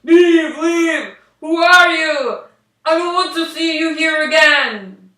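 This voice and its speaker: A woman voicing a harsh, rejecting young fairytale prince, shouting commands. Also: high-pitched, takes it slowly, voice pushed up, heavily acted. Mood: angry, disgusted. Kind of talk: amateur fairy tale storytelling. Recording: roomy echo, distant microphone.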